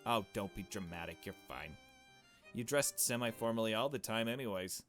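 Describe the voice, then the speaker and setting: A man's voice: on the low side, fairly bright tone, soft background music, close to an everyday voice. Man voicing a haughty rich young woman. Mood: dismissive. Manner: brisk, bossy, dismissive.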